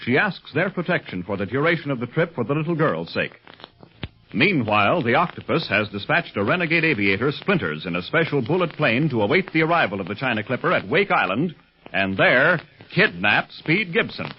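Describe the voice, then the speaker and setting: A male announcer narrates a vintage radio serial with formal drama. His medium-pitched voice moves in lively swings, on a muffled old recording with faint noise. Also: fairly quick.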